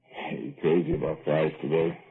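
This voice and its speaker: A man's voice: very deep voice, flat delivery, moderate pace, husky, exaggerated voice. An actor playing a dry, plain-spoken American working man with a colloquial, folksy way of talking in an old-time radio drama. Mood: dry, teasing. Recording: tinny, band-limited old radio recording with music.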